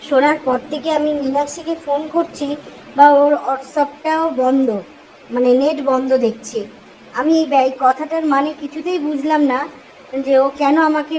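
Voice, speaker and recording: High-pitched Indian woman, earnest and halting. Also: darkish tone. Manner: earnest, self-justifying, halting. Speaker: caller leaving a voice message. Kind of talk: informal personal voice message. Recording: noisy amateur voice message recording.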